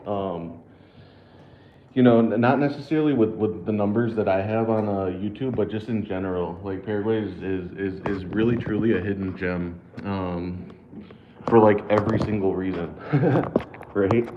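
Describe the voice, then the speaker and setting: A man's voice: on the low side, normal speed, noisy background. Laid-back American man on a tinny echoey stream. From United States. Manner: laid-back, laughs often, repeats words and fillers. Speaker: livestream host. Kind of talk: casual livestream chat reading super chats.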